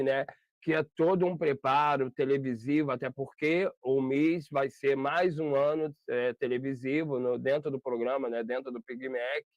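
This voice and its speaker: A man's voice: medium pitch, no echo. Chatty male interview host, muffled call. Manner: chatty, rambling with self-corrections. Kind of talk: online video interview. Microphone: video call. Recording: dull lo-fi video call audio.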